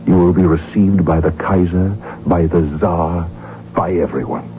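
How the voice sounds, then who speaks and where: A man's voice: low voice, fairly even intonation, a little slow, loud music behind the voice. A man playing a proud, ambitious, defiant archduke and devoted husband in a radio drama, speaking with grandiose, theatrical emphasis. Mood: triumphant, tender. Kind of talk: 1970s radio drama acting. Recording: muffled, humming old radio broadcast recording.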